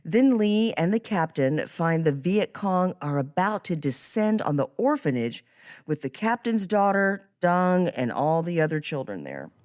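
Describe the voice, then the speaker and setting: A woman's voice: lowish voice, big pitch swings, unhurried, a little mellow. A woman hosting a podcast, presenting and asking questions in a scripted interview style. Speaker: podcast host.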